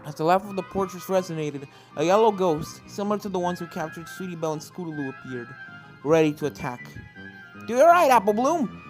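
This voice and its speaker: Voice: medium pitch, fast, rough recording. A narrator reading fan fiction aloud as dramatic scripted story narration. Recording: game-style music behind the voice.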